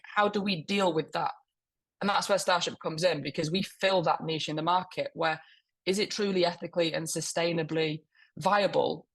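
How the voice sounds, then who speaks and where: A woman speaks on a webinar panel with a hoarse, croaky voice from a cold. Her tone is gravelly, and she explains her public affairs work in fluent, polished corporate phrasing. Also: low-pitched.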